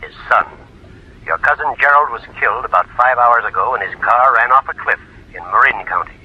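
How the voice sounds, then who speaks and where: A man's voice: mid-range voice, fairly quick, husky, close to an everyday voice. A man playing a sober, practical family lawyer in a 1940s radio drama, formal and politely insistent. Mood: grave, apologetic. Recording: muffled old radio recording.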